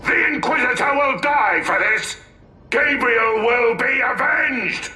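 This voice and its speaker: An actor playing a vengeful, hot-headed space marine officer in a game cutscene, vehement and declamatory. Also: male voice, very high-pitched, takes it slowly, pushed and bright, roomy sound, soft background music, heavily acted. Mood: furious. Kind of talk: dramatic game cutscene acting.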